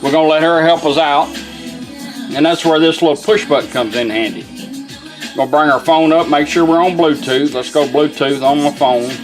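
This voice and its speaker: Man, slightly high voice, folksy product demonstrator, step-by-step how-to, music in background. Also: normal speed. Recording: music from a radio playing behind.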